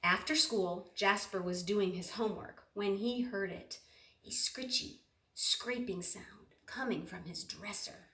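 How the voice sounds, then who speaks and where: Echoey woman reading a children's storybook, slow and dramatic. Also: mid-range voice, far from the mic, slight background noise. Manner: expressive, dramatic, with drawn-out words and questions to the listener. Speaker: storybook reader. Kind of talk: children's picture-book read-aloud with asides to young listeners. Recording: roomy echo, off the mic.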